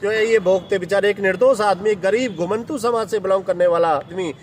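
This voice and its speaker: High-voiced earnest lawyer outdoors. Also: male voice, steady tone, fairly quick, a little hiss or hum. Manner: earnest, heavy with legal terms. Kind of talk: press interview.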